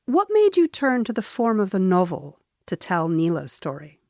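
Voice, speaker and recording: A woman with a mid-pitched voice, recorded dry, interviews a writer. Her phrasing is measured and articulate, as a literary journalist would speak. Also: huge pitch swings, darkish tone, no room sound. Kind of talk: literary interview before an audience or camera.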